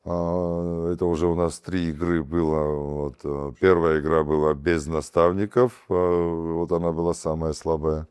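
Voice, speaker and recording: A man with an Abkhaz accent, talking casually as an interview guest, with animated bursts and many fillers and restarts. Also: low voice, a little slow, few pauses, smooth. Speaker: guest, comedy team captain and producer.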